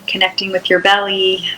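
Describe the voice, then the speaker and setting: A woman leading an unscripted guided meditation in a soothing, unhurried way, with long pauses between short phrases. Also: on the low side, fairly bright tone, low-quality recording.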